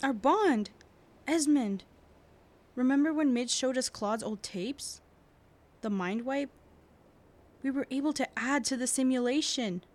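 A woman playing a determined, earnest young heroine in an audio drama, with an intense, deliberate delivery. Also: high-pitched, a little mellow. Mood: urgent, resolute. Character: determined, earnest young heroine fighting to save her family. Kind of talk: audio drama acting with narration. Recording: recording with faint background noise.

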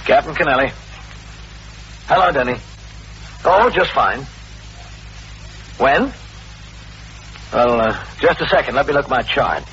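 An American man playing a businesslike, middle-aged police captain in a radio drama, measured and matter-of-fact. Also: mid-range voice, animated, a bit bright. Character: composed, businesslike male police precinct captain. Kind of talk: old-time radio drama, first-person police narration and dialogue. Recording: dull, noisy vintage radio recording.

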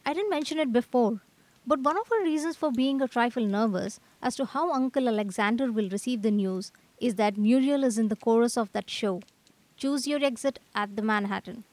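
Woman playing high-voiced earnest young artist man. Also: brisk, darkish tone, no room sound, natural voice. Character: earnest young male artist, eager to wed. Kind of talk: scripted radio drama acting.

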